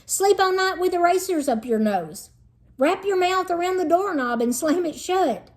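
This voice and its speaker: A woman's voice: very high-pitched, animated, moderate pace, voice pushed up. A woman reading a storybook as a reluctant, wry fourth-grade boy, plain-spoken and questioning. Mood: eager suggesting.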